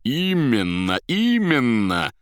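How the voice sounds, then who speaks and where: Male reader as mysterious foreign gentleman, deep, theatrical, polite, slyly menacing, savouring each word, storybook narration. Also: measured pace, dry recording, clean studio sound. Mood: delighted.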